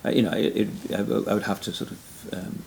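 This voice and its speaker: A man's voice: on the low side, little pitch movement, brisk, gravelly, slight background noise. An older man in his sixties from Wales with a Welsh accent, a retired pastor recalling his ministry in an interview. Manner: frequent backchannel mm and yeah, soft self-deprecating laughs. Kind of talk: reflective interview conversation.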